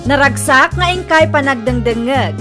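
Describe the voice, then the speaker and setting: A woman hosting and narrating an audio drama channel, talking in a slow, sing-song way with a catchphrase. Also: high-pitched, over loud music. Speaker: host and narrator. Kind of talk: radio drama host outro.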